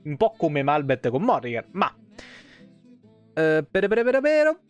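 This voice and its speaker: A man in his thirties with a slightly high voice streams a game. He reads the lore aloud and mixes in casual, irreverent commentary. Soft music plays behind him. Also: wildly swooping pitch, measured pace. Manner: irreverent, foul-mouthed asides. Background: background music.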